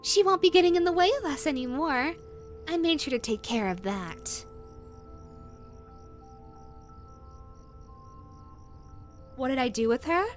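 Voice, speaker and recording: A woman playing an obsessive, possessive yandere in a rabbit costume in an audio roleplay, cooing in a drawn-out way that swings from syrupy to threatening. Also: sits high, expressive, soft background music. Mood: coyly menacing. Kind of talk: dramatic audio roleplay addressed to the listener.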